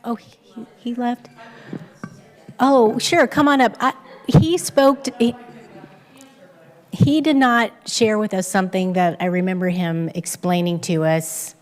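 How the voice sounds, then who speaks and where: A woman's voice: mid-range voice, fairly even intonation, measured pace, pauses often. Friendly woman chairing a council hearing. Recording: reverberant council chamber.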